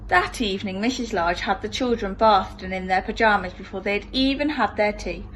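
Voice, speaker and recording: A woman's voice: medium pitch, a little fast, fairly bright tone, a little hiss or hum, rough recording. A woman reading a children's storybook expressively, in the style of a primary school teacher.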